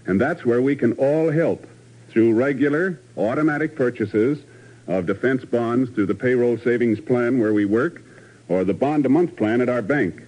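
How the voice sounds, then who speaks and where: A man's voice: medium pitch, moderate pace, darkish tone. A man doing scripted announcing and narration in 1950s radio style. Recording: muffled 1950s radio broadcast.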